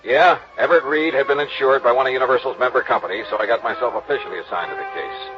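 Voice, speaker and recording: A dull, old radio recording carries a male actor as a tough insurance investigator. He is American, with a mid-pitched voice. His delivery is clipped, wisecracking and pressing, in 1950s detective drama style. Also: fast talker, soft background music, natural voice. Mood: wry narration. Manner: clipped, hard-boiled, pressing.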